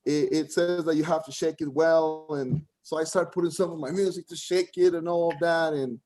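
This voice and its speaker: Halting Costa Rican Spanish-accented man. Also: on the high side, steady tone, dark tone. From Costa Rica. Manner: halting, frequent restarts, keeps saying "man". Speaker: first-time open mic comedian. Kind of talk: rambling stand-up set on a video call. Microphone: video call.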